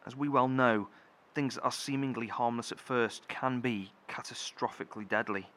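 A man playing a cautious, dutiful bunker leader with a measured manner in an audio horror drama. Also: mid-range voice, sharp tone, lightly acted.

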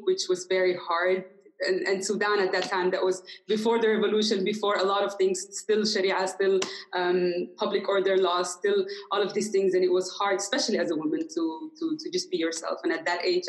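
A woman's voice: on the low side, low-quality recording. A young woman talking as a guest in a casual conversation, reflective and hesitant with frequent laughter.

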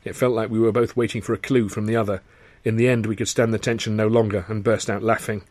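A man reading a first-person audiobook in a wry, expressive storytelling manner. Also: fairly low pitch, fast, no room sound. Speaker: author reading own novel.

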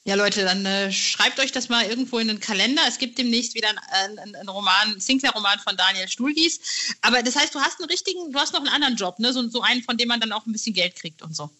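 A woman's voice: a little high, lively intonation, quick pace, sharp tone, lo-fi sound. A woman hosting a podcast, chatting casually in an informal, slangy, enthusiastic way. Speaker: podcast host, interviewer.

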